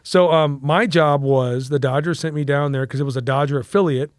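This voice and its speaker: A man's voice: a little high, fairly even intonation, a little fast, dry recording, studio quality. A Mexican-American man in his forties, telling a long casual story as a podcast guest, rambling with fillers and restarts. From United States.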